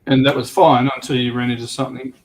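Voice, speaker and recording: A man's voice: medium pitch, flat delivery, quick pace, a crowd in the background, rough recording. A man chatting casually as a livestream panelist, in a tentative, loosely phrased way. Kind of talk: casual hobby chat.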